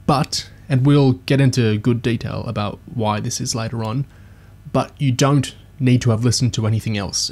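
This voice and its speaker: A man with a medium-pitched voice hosts a discussion podcast. He speaks as an engaged fan reviewer, explaining things to listeners and sharing his enthusiasm. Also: creaky, a little mellow.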